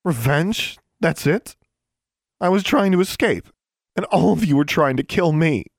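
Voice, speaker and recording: A man's voice: extremely high pitch, big pitch swings, moderate pace, dry recording, studio quality, pitched above the performer's own voice. A man putting on a desperate defiant girl. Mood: distraught.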